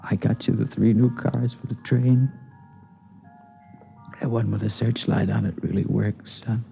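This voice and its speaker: A man's voice: fairly low pitch, fairly even intonation, measured pace, gravelly. An American man playing an anguished father of a young son in a 1950s radio drama, emotional and faltering. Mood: grieving, tender. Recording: muffled old radio recording with music.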